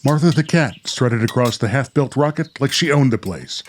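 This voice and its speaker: A man's voice: medium pitch, lively intonation, moderate pace, a little hiss or hum. A man hosting and narrating a radio play in a theatrical, dramatic storyteller style. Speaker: host and narrator.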